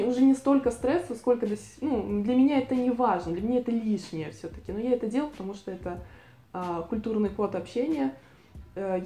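A woman's voice: mid-range voice, mellow, reverberant. A woman in her twenties from Latvia, chatting casually and self-ironically on a talking-head vlog with a lapel mic. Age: 20s. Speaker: vlogger. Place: living room with bookshelf, indoors.

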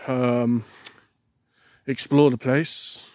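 A man chatting casually outdoors as a vlogger, in a halting way with repeated words. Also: medium pitch, little pitch movement, darkish tone, no room sound. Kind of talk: casual chat on a walk. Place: outdoors beside an overgrown field.

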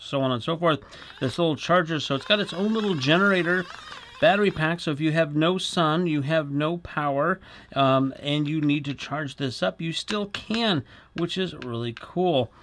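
Middle-aged man, higher-pitched voice. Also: a bit bright, a little hiss or hum. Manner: chatty, explanatory. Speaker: product review host. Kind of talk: casual product demonstration to camera.

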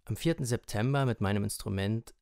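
Male musician from eastern Germany, slightly low voice, prepared introduction, close dry sound. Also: a little fast, gravelly, a little mellow, smooth, no echo. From Halle, eastern Germany. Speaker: jazz trumpeter.

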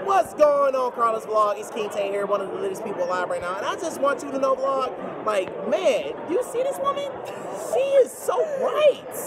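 A young man hyping up a vlogger on her phone camera, playful and flattering, at a busy convention lobby. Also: extremely high pitch, moderate pace. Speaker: convention attendee. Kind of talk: hyped greeting to camera. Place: crowded convention hall lobby. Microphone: phone camera at arm's length. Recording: loud crowd noise in a hall.

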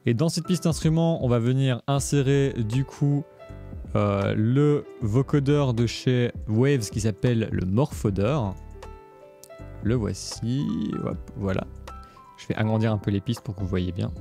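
A man's voice: mid-range voice, measured pace, warm tone. A man presenting a music production tutorial in a casual, step-by-step explanatory way. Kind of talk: casual screencast tutorial narration.

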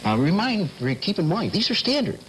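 A man's voice: sits high, big pitch swings, a little fast. A middle-aged American man explaining as an interview guest in a television studio, on slightly noisy vintage TV sound. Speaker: telephone company specialist, guest. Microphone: lapel mic.

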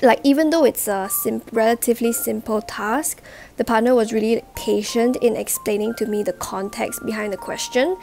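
Woman, twenties, nasal flu voice, fairly high pitch, chatty vlog talk, earphone mic. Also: creaky, darkish tone. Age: 20s. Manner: chatty, explains step by step with fillers. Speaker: vlogger, law intern. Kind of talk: casual vlog talk to camera. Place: indoors, home room. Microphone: wired earphone mic.

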